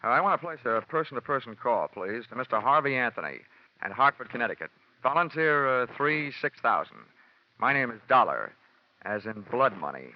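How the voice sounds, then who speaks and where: An actor playing a wisecracking, hardboiled insurance investigator in an old-time radio detective drama, wry and sardonic with a clipped delivery. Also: male voice, medium pitch, very animated, a little fast, a little hiss or hum, lightly acted. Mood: sardonic, cool. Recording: dull vintage radio recording with music.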